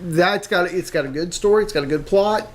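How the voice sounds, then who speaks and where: A man co-hosting a casual discussion show, making his points in a halting way and repeating words. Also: high voice. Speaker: co-host of a pop culture discussion show. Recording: noticeable background noise and room ambience.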